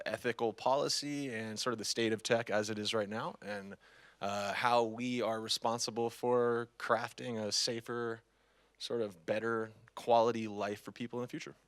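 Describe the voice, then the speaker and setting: A man's voice: fairly low pitch, a bit bright, no echo. A man with a Californian accent, earnestly introducing himself on a panel. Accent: American, Californian. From Los Angeles. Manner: earnest, thoughtful. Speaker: panelist, VR company owner.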